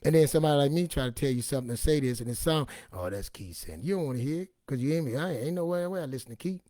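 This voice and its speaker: A raspy-voiced man giving an informal, emphatic personal testimony in a streetwise, repetitive way, acting out bits of dialogue as he goes. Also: mid-range voice, expressive, rapid-fire, mellow, no echo, clean studio sound. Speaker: online motivational and faith speaker.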